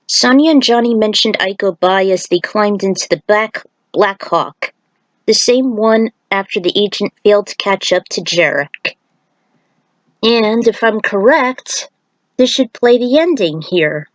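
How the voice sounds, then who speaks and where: A woman narrating a scripted fan fiction story in a deliberate read-aloud manner. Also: medium pitch, lively intonation, no echo, low-quality recording.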